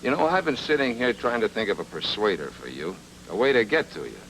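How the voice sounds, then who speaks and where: Wry, low-voiced hardboiled detective. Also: male voice, sing-song, fairly quick, fairly bright tone, slight background noise, rough recording. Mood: cool, sardonic. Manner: terse, sardonic, slangy noir patter. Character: wry, tough hardboiled private investigator. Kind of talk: film noir radio drama acting.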